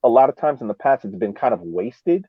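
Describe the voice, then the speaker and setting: A man talking soccer as a podcast panelist in a casual, emphatic, halting way, often repeating words as he builds his point. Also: medium pitch, very animated, breathy edge, no room sound. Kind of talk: casual sports discussion. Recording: phone-like, band-limited call audio.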